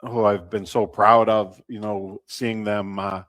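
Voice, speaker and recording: A man speaking unscripted as a guest in a sports interview, measured and halting, often pausing mid-sentence. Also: fairly low pitch, little pitch movement, fairly bright tone, no room sound. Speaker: baseball team representative, interview guest.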